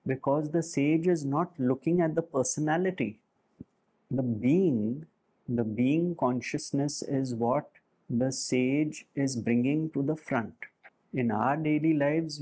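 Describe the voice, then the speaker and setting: A man gives a reflective spiritual talk to a meditation group. He speaks very slowly, in unhurried phrases with pauses between them. His voice is dark and mellow. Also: mid-range voice, slightly rough.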